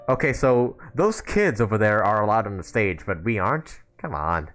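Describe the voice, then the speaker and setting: Low-voiced, animated man streaming a game. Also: unhurried, faint music behind. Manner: joking, laughs often. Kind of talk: casual live gameplay commentary.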